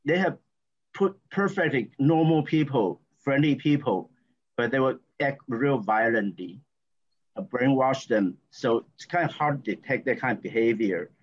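A man from Taiwan with a Taiwanese accent, a guest talking in a halting, rambling way with lots of fillers and restarts. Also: medium pitch. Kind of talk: casual interview talk. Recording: dull lo-fi audio.

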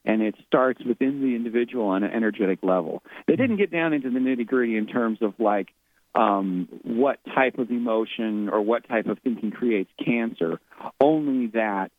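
A man's voice: medium pitch, moderate pace. A man who is a guest on a radio interview speaks reflectively and often repeats words while he searches for the next ones. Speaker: guest, author and medium. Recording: tinny phone line.

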